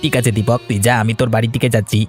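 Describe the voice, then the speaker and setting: A man's voice: mid-range voice, little pitch movement, very fast, faint music behind, close to an everyday voice. A man voicing a grave village friend in a Bengali cartoon, with a Bengali accent.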